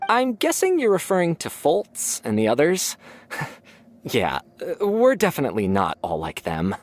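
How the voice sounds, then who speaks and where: Eager young agent, low pitch, hugely varied intonation, affable, hesitant, video game dialogue. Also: female voice, huge pitch swings, moderate pace, heavily acted. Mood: awkward, affable. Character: friendly, chatty young agent, awkward and eager. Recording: soft music behind the voice.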